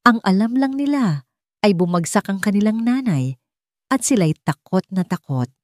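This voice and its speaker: A woman's voice: mid-range voice, lively intonation, normal speed, no echo. A woman with a Filipino accent reading a scripted dramatic story as an audiobook narrator.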